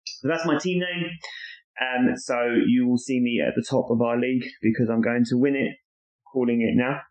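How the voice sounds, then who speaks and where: A man's voice: mid-range voice, steady tone, normal speed, darkish tone. A man co-hosting a podcast, chatting casually and confidently with fillers. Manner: confident, lists his picks with many fillers. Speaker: podcast co-host. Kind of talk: casual chat. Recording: lo-fi echoey room.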